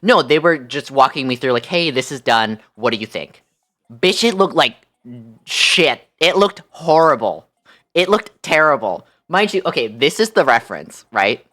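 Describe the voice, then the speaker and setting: A woman livestream host telling a story casually, in an animated, incredulous, slangy way. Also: rumbling low, normal speed.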